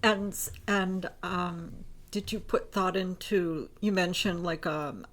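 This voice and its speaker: A woman interviewer with a slightly low voice asks follow-up questions. She pauses and searches for words as she goes. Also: a bit bright.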